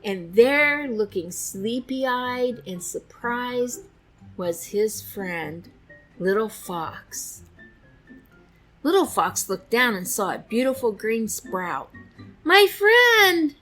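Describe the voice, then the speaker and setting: A woman narrates a picture book for young children. She reads slowly and deliberately in a medium-pitched voice, with expressive storytelling. She is close on a studio mic over faint background music. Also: poor audio. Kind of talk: expressive read-aloud narration. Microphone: close studio microphone.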